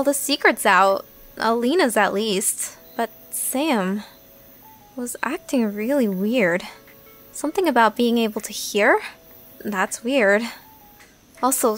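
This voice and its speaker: A puzzled young female narrator played by an actress thinks aloud in a casual audio drama style. She speaks slowly in a high voice over faint music. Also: a bit bright.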